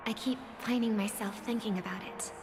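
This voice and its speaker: A woman playing a gentle, earnest young female singer in a video game, idealistic and measured in speech. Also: a little high, steady tone, background noise, lightly acted. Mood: wistful, reflective. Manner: measured, soft-spoken, earnest. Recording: long reverb, music under the voice. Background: background music.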